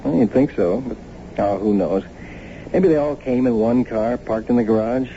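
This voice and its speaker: Actor as a very mellow, easygoing young husband. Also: male voice, normal speed, natural voice. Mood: tired, relaxed. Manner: casual, low-key. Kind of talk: naturalistic radio drama acting. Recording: muffled, faintly noisy old radio broadcast.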